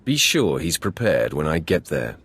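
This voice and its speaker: Game lead: menacing dark warrior, very low voice with dramatic swings, curt, imperious, cold. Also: male voice, big pitch swings, moderate pace, husky, exaggerated voice. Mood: commanding, curt. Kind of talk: video game character acting.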